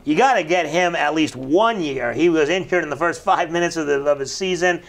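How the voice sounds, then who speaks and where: A man in his thirties hosting a casual local sports talk show in a small studio, upbeat and quick with questions, on a lapel mic. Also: fairly high pitch, fairly bright tone. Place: small brick-walled TV studio set.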